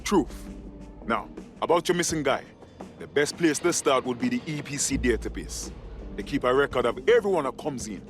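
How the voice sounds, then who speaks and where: A man's voice: mid-range voice, animated, moderate pace, lightly acted. A world-weary informant played by a man, casual and helpful. He has a mid-range voice over faint music, as in a game cutscene. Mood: helpful, brisk.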